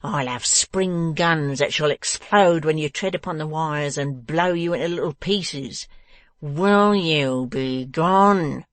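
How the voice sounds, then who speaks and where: A man voicing a malicious male dwarf villain in a storybook, taunting and domineering, with slow emphatic menace. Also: fairly high pitch, lively intonation, vocal fry, fairly bright tone, dry recording, full character voice. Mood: roaring, threatening. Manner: menacing, emphatic, drawn-out delivery.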